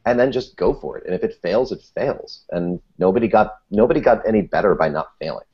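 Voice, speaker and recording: A man's voice: fairly low pitch, lively intonation, brisk, husky, highs cut off. A man talking animatedly and self-deprecatingly as a guest in a casual panel discussion. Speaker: podcast guest.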